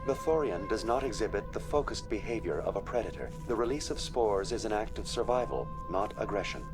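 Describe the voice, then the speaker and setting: A man's voice: fairly low pitch, animated, brisk, over music, lightly acted. A man voicing an impassive male virtual assistant at a research facility in a video game, formal and clinical in delivery. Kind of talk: scripted game dialogue, informational briefing.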